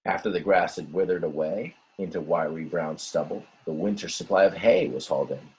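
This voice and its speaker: Husky-voiced man reading a storybook aloud. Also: low voice, brisk, lo-fi sound. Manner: expressive, dramatic, explains words to young listeners. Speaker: teacher reading a picture book aloud. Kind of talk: children's storybook read-aloud with simple asides.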